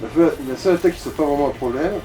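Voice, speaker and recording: Casting: male gaming companion, slightly high voice, casual offhand remarks over music. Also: very fast, mellow, recorded from a distance, rough recording. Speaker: companion watching the game. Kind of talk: casual chat.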